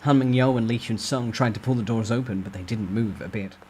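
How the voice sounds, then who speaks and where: A man with a medium-pitched voice delivers dramatic first-person storytelling, as if reading a novel aloud. Noticeable background noise and ambience sit behind him. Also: brisk, a little mellow.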